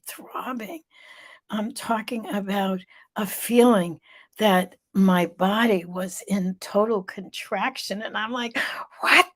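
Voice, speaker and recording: Middle-aged woman host, stuffy-voiced, unhurried live-vlog storyteller. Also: mid-range voice, a bit bright. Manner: slow, storytelling, emphatic repetition.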